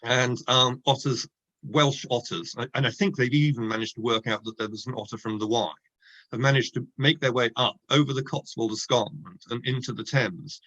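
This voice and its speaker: Male author guest, Oxford accent, thoughtful and halting; muffled call audio. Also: medium pitch, fairly even intonation, fairly bright tone. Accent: Oxford English. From Oxford, England. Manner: thoughtful, hesitant with many ums and restarts. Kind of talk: relaxed interview talk.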